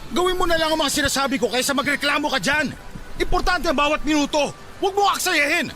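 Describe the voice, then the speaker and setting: A stern Filipino father, barks urgent orders at a rapid pace. His voice is piercing and forceful, in radio drama style, with faint storm sound behind it. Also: very high voice, a little hiss or hum. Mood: scolding. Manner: scolding, commanding, urgent. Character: stern, harried father of a poor family.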